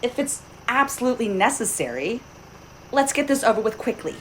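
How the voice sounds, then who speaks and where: Actress as a high-voiced, indignant prim lady. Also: big pitch swings, brisk, loud music behind the voice. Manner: haughty, clipped politeness. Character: indignant, prim, stubborn young lady motorist. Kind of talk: audio drama acting. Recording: noisy home-device recording.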